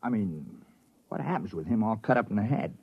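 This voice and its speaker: Gruff, low American spaceship orderly. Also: male voice, expressive, fairly quick, slight background noise. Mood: worried. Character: grumbling, worried ship's medical orderly, man. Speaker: actor in a radio drama. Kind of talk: 1950s radio acting. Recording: muffled vintage radio recording.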